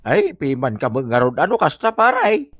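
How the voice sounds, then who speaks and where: High, animated, teasing Ilocano buddy played by a man. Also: normal speed, husky, dry recording, exaggerated voice. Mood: amused, teasing. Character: teasing, amused male friend of the old husband. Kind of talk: comedy radio drama acting.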